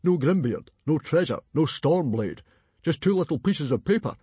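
A man voicing a loud, blustering male chieftain who is not very clever, with a booming voice. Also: animated, normal speed, husky, dry recording.

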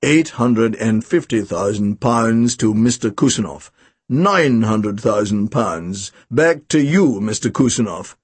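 A man voicing a posh male auctioneer with a plummy upper-class British accent, announcing bids in a slow, formal, drawn-out way. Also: lowish voice, animated, slightly rough, no room sound, pitched below the performer's own voice, full character voice. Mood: formal, mounting.

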